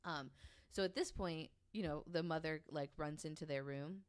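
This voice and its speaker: Low voiced woman telling a story on a podcast, kinda hesitant, smooth but fry at the edges, super dry sound. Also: moderate pace, no room sound. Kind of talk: casual storytelling among co-hosts, reading quotes aloud.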